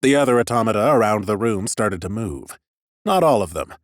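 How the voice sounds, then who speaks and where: A man reading expressive first-person scripted narration as an audiobook narrator. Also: on the low side, a little fast, no room sound, studio quality.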